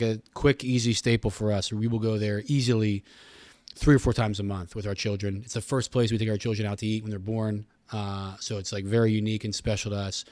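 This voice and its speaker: A man's voice: on the low side, no room sound. A man, middle-aged, chatting casually as an interview guest and repeating phrases for emphasis.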